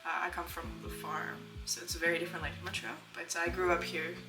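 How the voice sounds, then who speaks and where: A woman in her twenties from Saskatchewan, chatting casually as an interview guest, relaxed and thoughtful with frequent filler words. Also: on the low side, quick pace, distant mic. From Saskatchewan, Canada. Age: 20s. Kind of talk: casual conversation. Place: home, indoors. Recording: echoey room, off-mic, music underneath.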